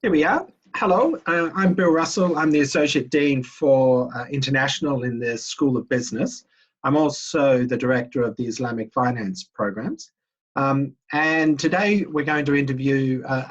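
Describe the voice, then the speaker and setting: Husky older man interviewing on video call. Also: medium pitch. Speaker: interviewer, university associate dean. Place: small home room, indoors. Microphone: computer microphone on video call. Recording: dull, lo-fi video call audio.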